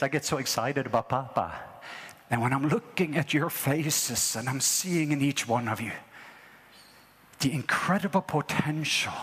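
A Norwegian man in his fifties preaching a sermon with excitable, repetitive call-and-response phrasing. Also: medium pitch, in a large hall. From Norway. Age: 50s. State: has a mild ear infection.

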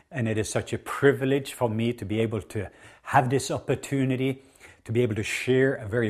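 A man's voice: mid-range voice, a little mellow. Norwegian-accented man preaching earnestly. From southwest Norway. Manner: earnest, emphatic preaching with many fillers. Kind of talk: sermon.

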